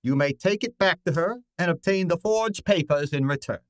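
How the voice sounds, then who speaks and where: An actor playing a pompous, imperious, money-minded detective in an old-time radio drama, speaking formally and curtly. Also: male voice, a little high, expressive, normal speed, dry recording, studio quality, natural voice. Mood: irritated, dismissive. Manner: pompous, formal, ornate vocabulary, exasperated.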